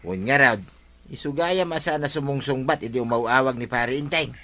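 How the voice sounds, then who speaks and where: A man's voice: medium pitch, brisk, bright, forceful tone, natural voice. A man with an Ilocano accent playing an everyday village man chatting with friends in a comedy drama. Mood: conversational.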